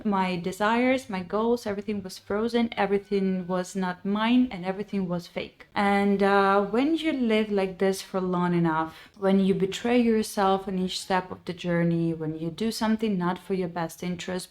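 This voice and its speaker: A woman from Ukraine in her thirties, a podcast host and life coach telling her own story in an earnest, reflective way, with phrasing. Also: medium pitch. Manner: earnest and reflective, with frequent restarts and non-native phrasing. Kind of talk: personal storytelling monologue.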